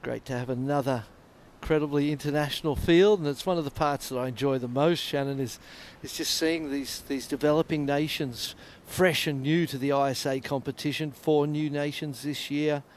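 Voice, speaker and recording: A man's voice: a little high, fairly even intonation, moderate pace, hardly any pauses, a little hiss or hum. A man calling a surfing contest live, chatty and reflective between the waves. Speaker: surf contest commentator. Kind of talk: live sports commentary.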